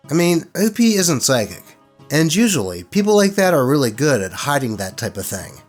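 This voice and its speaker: A man narrating stories in an expressive, colloquial way, with casual commentary mixed in. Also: mid-range voice. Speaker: host reading online tabletop horror stories.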